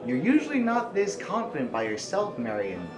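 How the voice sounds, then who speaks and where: A man's voice: on the high side, wildly swooping pitch, normal speed, crowd noise. A man playing a proud, defiant general in an audio drama, formal and pointed with a sardonic edge. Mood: accusing. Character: proud, principled, defiant male general of rebels.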